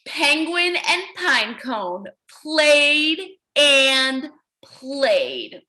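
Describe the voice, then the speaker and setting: A woman's voice: high-pitched, sharp tone. A woman teaching young children in an interactive read-aloud, encouraging them with praise and questions and repeating their answers back.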